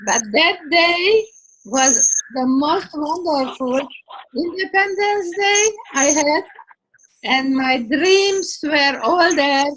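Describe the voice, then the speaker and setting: An older woman giving a personal storytelling speech in a deliberate, reflective way. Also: high-pitched, a bit bright, poor audio. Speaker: club meeting speaker.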